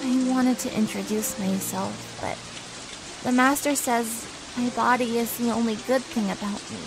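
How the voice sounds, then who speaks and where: A woman's voice: a little high, steady tone, moderate pace, background noise, close to an everyday voice. A woman playing a sad, childlike young snake performer with a timid manner in a comic audio drama. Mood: timid, tearful. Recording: rain and music behind.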